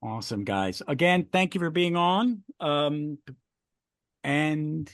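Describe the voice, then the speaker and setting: A man with a somewhat high voice hosts a casual podcast. He talks at a slow, unhurried pace, with a close, dry sound. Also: expressive, no echo. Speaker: podcast host. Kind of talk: casual chat.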